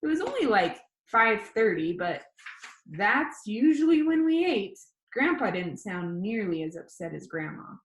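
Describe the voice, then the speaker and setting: A woman reading a children's novel aloud like a teacher, in an informal, expressive way. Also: mid-range voice, a little slow. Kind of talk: storybook narration read aloud. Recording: echoey room.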